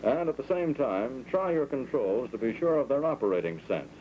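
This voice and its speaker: An American man speaks as a flight instructor in a wartime-era training film, at an average male pitch. His delivery is scripted and orderly, taking each step in turn. The old 1940s soundtrack is dull and plainly noisy. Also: lively intonation, normal speed.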